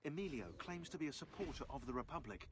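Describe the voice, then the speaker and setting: A man's voice: fairly high pitch, animated, fast, background noise. A man playing a sardonic narrator, reading a scripted character profile in a video game with dry wit. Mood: wry. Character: wry, sardonic male briefing narrator.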